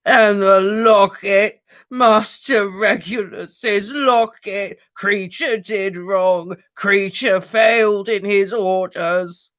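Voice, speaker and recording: A man voicing a wretched old male house-elf, servile and bitter, who croaks out his words slowly and haltingly. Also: high-pitched, fairly even intonation, a bit bright, no echo, voice pushed up, exaggerated voice. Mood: wailing. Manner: slow, halting, gulping for air. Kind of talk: audiobook character voice.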